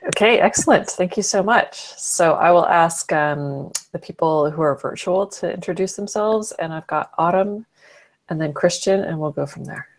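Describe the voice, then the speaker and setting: A woman's voice: on the low side, normal speed. A woman in her forties informally hosting a live group video call. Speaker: host of an online panel. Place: small indoor room with bookshelves, on a video call. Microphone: webcam video call.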